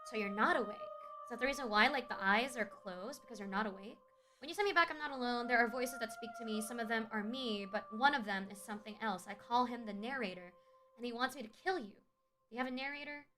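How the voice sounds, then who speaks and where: A woman's voice: on the high side, fast. A woman livestreaming a game, reacting expressively and hesitantly and reading lines aloud. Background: game music.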